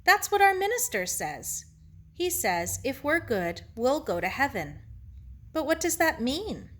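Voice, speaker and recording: A woman's voice: sits high, very animated, measured pace, voice pushed up. A woman voicing an earnest, troubled young girl in a storybook, asking innocent, searching questions. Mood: puzzled, hopeful. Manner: inquiring, innocent. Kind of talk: children's novel read aloud.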